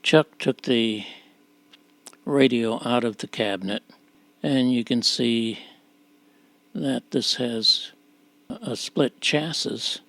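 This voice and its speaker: A man giving an unscripted, halting, plain-spoken walkthrough of a repair job as a presenter. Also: medium pitch, gravelly, a bit bright, no room sound.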